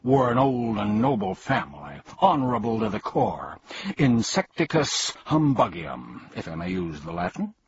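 A man's voice: fairly low pitch, big pitch swings, moderate pace, lo-fi sound, a little hiss or hum. A man doing a pompous blustering old humbug. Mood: boastful. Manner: bombastic, boastful declaiming.

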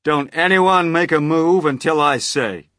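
A man's voice: on the high side, a little slow, bright, forceful tone, no room sound, studio quality, pitched above the performer's own voice. A man voicing a flustered, easily shocked fire chief in a storybook, exclaiming and yelping. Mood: grim, flustered.

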